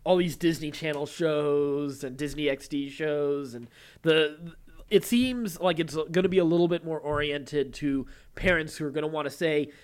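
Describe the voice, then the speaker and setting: A man's voice: a little high, normal speed, smooth. A man hosting a podcast, moving between reading news and casually explaining his take in a talkative way. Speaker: podcast host.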